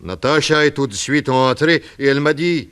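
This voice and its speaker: An actor playing a desperate, lovesick Russian émigré and confessed murderer, with a Russian accent, in theatrical 1960s French radio drama style. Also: male voice, a little high, lively intonation, normal speed, a bit bright, smooth. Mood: anguished confession.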